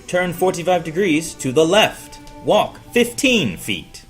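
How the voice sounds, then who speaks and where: A woman's voice: fairly low pitch, sing-song, slow pace, soft background music. A woman voicing an impassive computer assistant in an audio drama, announcing readouts in a robotic, clipped manner. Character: deadpan wrist-worn computer assistant issuing instructions and readouts. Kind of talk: acted audio drama reading.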